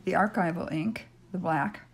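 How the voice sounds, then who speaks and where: An older woman with a raspy, gravelly voice walks through a clay project in a relaxed, rambling way, pausing with ums as she works. Her voice sits fairly low, and a faint hiss runs under the fixed camera mic in her home craft room. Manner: chatty, thinking aloud with many ums while working. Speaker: polymer clay tutorial host. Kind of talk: casual craft demonstration. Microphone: stationary camera mic.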